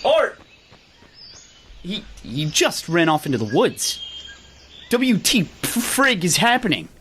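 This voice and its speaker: Young male reporter, acted, flustered and earnest comedy role, very animated, noisy outdoor birds behind him. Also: sits high, slow pace, exaggerated voice. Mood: alarmed. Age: young adult. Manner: hesitant, bewildered. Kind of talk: comedy newscast acting. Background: birds and outdoor noise.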